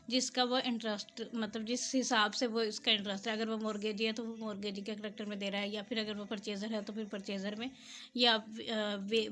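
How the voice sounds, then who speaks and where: A woman's voice: on the high side, fairly bright tone. A woman giving an informal lesson, reading legal text aloud and explaining it as she goes, mixing two languages. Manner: explanatory, switches between Urdu and English. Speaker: law lecturer.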